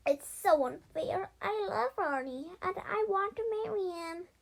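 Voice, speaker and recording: A woman's voice: very high voice, measured pace, vocal fry, voice pushed up, full character voice. A woman voicing a young female storybook mouse who is lovesick, melodramatic and pleading in a blubbering way. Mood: upset, indignant.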